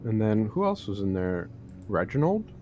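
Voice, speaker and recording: A man's voice: lowish voice, sing-song, normal speed. Man playing a boastful, grumbling jailed troublemaker. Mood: curious, needling. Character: boastful, grumbling jailed male terrorist, self-declared cool. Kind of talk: improvised online roleplay, casual chat.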